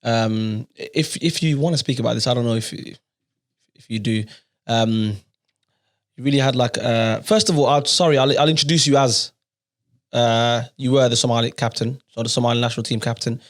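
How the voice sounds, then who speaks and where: Mid-pitched man hosting a casual podcast. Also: moderate pace. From Netherlands. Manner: informal, repeats phrases. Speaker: podcast host. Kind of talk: casual interview chat.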